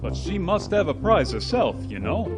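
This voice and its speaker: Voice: medium pitch, very animated, normal speed, natural voice. An actor playing a fussy, easily offended mouse in a storybook radio play, melodramatic and indignant. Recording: music behind the voice.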